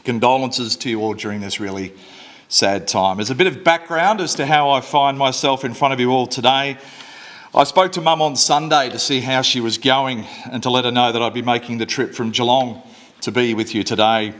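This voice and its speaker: A man's voice: medium pitch, normal speed, a bit bright. A man giving a funeral speech in church, plain-spoken and lightly wry, at a lectern microphone. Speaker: eulogy speaker. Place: church service.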